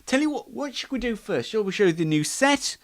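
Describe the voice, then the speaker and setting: A man's voice: sits high, very animated, fairly quick, no echo. A man hosting a casual online radio-style show in a rambling, self-deprecating way. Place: home studio and downstairs rooms. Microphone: switches between wireless and studio microphones. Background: background music in places.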